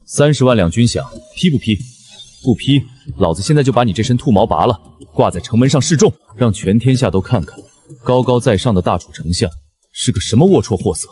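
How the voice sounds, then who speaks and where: An actor playing a brash, hot-tempered frontier general from ancient China, coarse and taunting, in an animated costume drama. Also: male voice, mid-range voice, a little fast, lightly acted. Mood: threatening.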